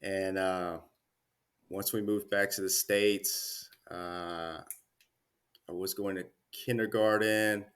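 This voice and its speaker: A man in his thirties, a guest chatting casually in an interview, relaxed with many fillers and restarts. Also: low-pitched, slow pace, clear, resonant tone. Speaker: Army career manager guest.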